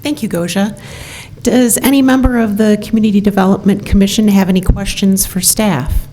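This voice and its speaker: A woman's voice: medium pitch, fairly even intonation, normal speed, warm tone, slight background noise. A woman chairing a city commission meeting, formal and procedural. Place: council chamber.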